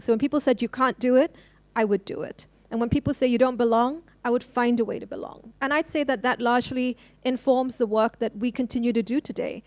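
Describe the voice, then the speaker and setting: A woman from Hong Kong who works as a lawyer speaks on a discussion panel, pausing often with fillers as she thinks. Her voice sits a little high. The sound is dry and close. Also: a little mellow, no room sound. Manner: thoughtful, with many fillers and restarts.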